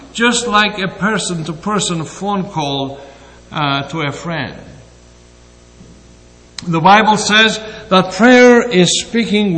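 An older man with a Greek accent, giving a sermon slowly and deliberately with frequent hesitations. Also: high voice, fairly bright tone, big-room sound, poor audio. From Greece.

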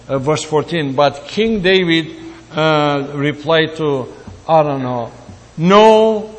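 Slow, high-voiced preacher in reverberant hall. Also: male voice. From Greece. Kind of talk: sermon.

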